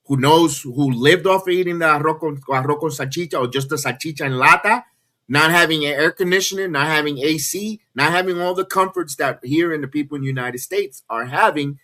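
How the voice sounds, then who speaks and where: Bright, earnest man on a podcast. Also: a little high, fairly quick. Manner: earnest, repeats himself when worked up. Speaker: wrestling podcast host. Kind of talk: casual podcast chat.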